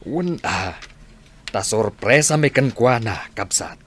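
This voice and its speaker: A man with an Ilocano accent acting a steady, grateful crew boss in a radio drama. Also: fairly low pitch, takes it slowly, heavily acted. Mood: hesitant, pleading.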